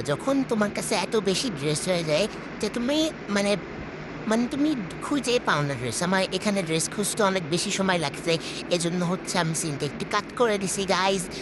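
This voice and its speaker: A woman's voice: medium pitch, noisy background. A woman with a Bangladeshi accent giving casual, exclamatory gaming commentary through a voice changer, talking to herself and repeating words. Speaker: gaming video host.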